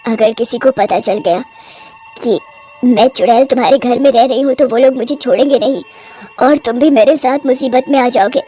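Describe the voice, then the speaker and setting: A woman's voice: a little high, normal speed, mellow, lightly acted. A woman voicing a sorrowful pregnant witch, a young dead wife turned ghost, in a plaintive, dramatic cartoon style. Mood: sorrowful, fearful. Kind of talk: Hindi horror cartoon voice acting.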